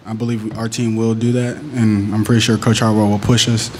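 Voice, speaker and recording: A man's voice: on the low side, flat delivery, a little fast, a little mellow, a little hiss or hum. A young man, a college running back, answering press questions earnestly at a table mic. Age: young adult. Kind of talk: postgame press conference answers. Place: press conference room.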